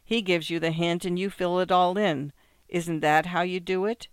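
A man's voice: high-pitched, normal speed, a bit bright, smooth, dry recording. A man voicing a wealthy wife in a short story, mocking and theatrically gushing, with ironic, condescending exclamations. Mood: ironic teasing. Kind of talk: acted literary short story.

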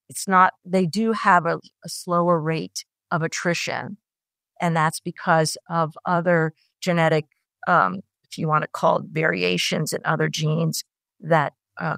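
Middle-aged woman scientist, halting delivery, lower voice, dry recording. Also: gravelly, fairly bright tone, no room sound. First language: Italian. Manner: hesitant, many fillers and restarts. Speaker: scientist guest. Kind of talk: explanatory interview answers.

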